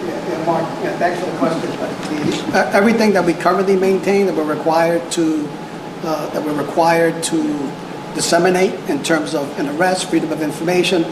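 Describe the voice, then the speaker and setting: Halting male official in an echoey room. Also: a little high, steady tone. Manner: hesitant, repeats words while searching for phrasing. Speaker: police technology official answering press questions. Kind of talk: press conference answers. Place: indoor press conference room. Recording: echoey room with clear background noise.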